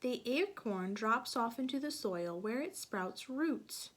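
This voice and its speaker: A woman's voice: on the high side, lively intonation, slow. A woman teacher reading a picture book aloud to young children, stopping to explain things and ask them questions.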